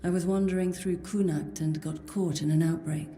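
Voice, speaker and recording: Woman playing a weary, mellow, low-voiced horned woman. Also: steady tone, normal speed, a little hiss or hum, lightly acted. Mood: guarded, somber. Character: weary, sardonic horned Pict woman, escaped captive. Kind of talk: video game character dialogue.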